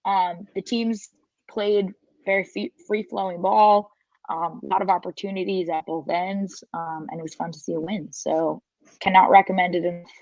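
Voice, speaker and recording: A woman's voice: on the low side, steady tone, normal speed, a little mellow, dry recording. A woman chatting informally and emphatically as a panelist on a sports podcast. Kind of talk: casual sports podcast chat. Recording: dull, dry, lo-fi audio.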